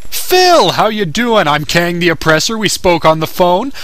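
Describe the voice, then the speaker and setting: A man voicing a glib demon television producer in a cartoon parody, flattering and diplomatic like a salesman. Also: high voice, unhurried, dry recording, exaggerated voice. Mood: gushing. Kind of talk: comedic cartoon parody dub.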